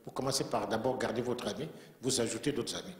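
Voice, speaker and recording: A man's voice: medium pitch, steady tone, fast talker. A man giving formal, earnest remarks as a panelist at a conference, from Central Africa. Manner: earnest, emphatic, repeats himself for weight. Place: conference room in a bank. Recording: echoey conference hall.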